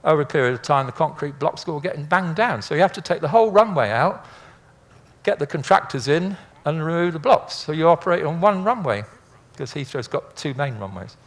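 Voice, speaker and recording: A man's voice: a little high, expressive, fairly quick. An older man giving an informal illustrated lecture in a chatty, rambling, anecdotal way with dry humour. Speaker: speaker, former air traffic controller.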